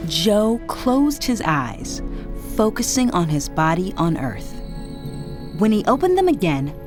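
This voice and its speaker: A woman reading scripted narration for a children's read-along storybook in an expressive, dramatic way. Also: on the low side. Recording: music behind the narration.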